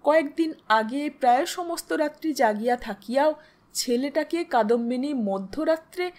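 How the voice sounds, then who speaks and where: A woman's voice: sits high, expressive, normal speed, darkish tone. A woman reading a literary story aloud as a scripted narration.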